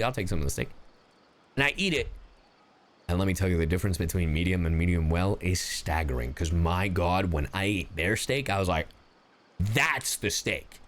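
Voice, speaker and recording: Animated young American male streamer. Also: low voice, fast. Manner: animated, slangy storytelling with profanity. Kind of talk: casual live game reaction commentary.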